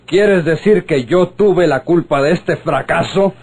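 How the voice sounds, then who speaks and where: An actor playing an imperious, short-tempered Mexican police colonel in a radio serial, with a husky voice. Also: male voice, fairly high pitch, a little slow, a little mellow. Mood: angry. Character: irascible, imperious male secret police colonel. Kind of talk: old radionovela acting.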